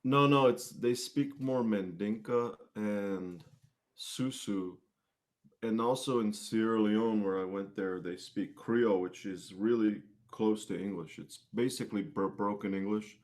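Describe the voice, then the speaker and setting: A man answering questions casually as an interview guest, often halting and rephrasing his thoughts midway. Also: lowish voice. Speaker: interview guest, singer-musician.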